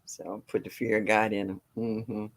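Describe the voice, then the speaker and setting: A woman in her sixties from with a deep, low voice, chatting casually on a livestream, greeting viewers and laughing often. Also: level tone, moderate pace. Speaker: livestream host. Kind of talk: casual chat reading viewer comments.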